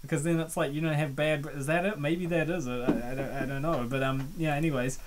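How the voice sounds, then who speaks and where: A comic dub host giving casual, rambling commentary on a webcomic, with playful and excitable reactions. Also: mid-range voice, fast talker, background noise.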